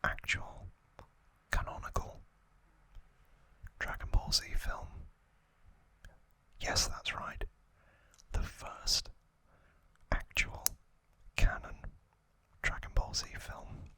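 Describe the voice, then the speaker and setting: A young adult man hosting an ASMR series, whispering in a hushed, deliberate way in short separated phrases, close to the microphone. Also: no room sound. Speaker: host of an ASMR review series.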